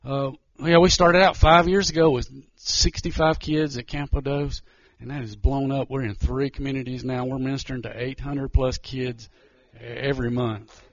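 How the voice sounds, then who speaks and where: Male missionary, nervous, halting, dry, muffled lo-fi sound. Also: mid-range voice, fairly even intonation, no echo. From Georgia, US. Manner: nervous, halting, many restarts. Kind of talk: church testimony talk.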